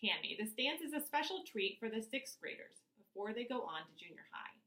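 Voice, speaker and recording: A woman's voice: on the high side, very animated, fairly quick, bright, forceful tone, roomy sound, pitched above the performer's own voice. A woman voicing a patient, reassuring mother in a children's story. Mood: explaining.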